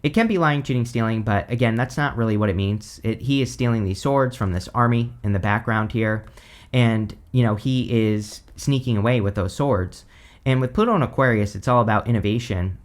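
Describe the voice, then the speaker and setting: A man giving a casual tarot reading, hoarse because he is losing his voice, rambling with lots of "like" and "you know". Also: lowish voice, fairly quick. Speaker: tarot reader.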